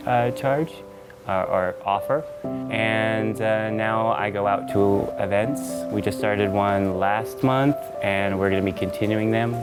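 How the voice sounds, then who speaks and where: A man in his thirties from New City, a guest on a talk show, explaining things earnestly with frequent fillers. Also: fairly low pitch. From New York City, USA. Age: 30s. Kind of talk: casual interview talk.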